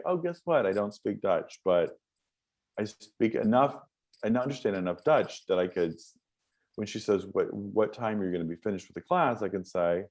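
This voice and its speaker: A middle-aged American man telling stories in an interview, rambling and restarting often. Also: on the low side. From United States. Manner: rambling, animated storytelling with many restarts. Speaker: interview guest. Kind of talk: casual interview chat.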